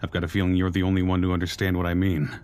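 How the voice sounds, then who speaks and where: A man portrays a weary outcast soldier in a video game. His voice sits low, and he speaks earnestly and reflectively, like someone long burdened. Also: monotone, rapid-fire, a little mellow, close to an everyday voice. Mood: defiant. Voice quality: low-pitched.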